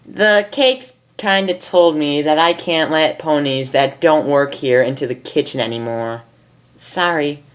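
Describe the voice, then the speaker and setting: A woman's voice: low-pitched, a little slow. A woman voicing a bubbly, chatty, rule-minded female pony baker from a cartoon, with a singsong delivery. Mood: apologetic.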